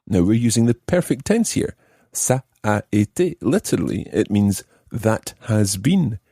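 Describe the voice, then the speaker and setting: A man presents a language lesson in a low, husky voice with lively intonation. He explains phrases step by step and addresses the listener directly as a teacher. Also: no echo, studio quality. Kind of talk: clear scripted teaching narration, switching between languages.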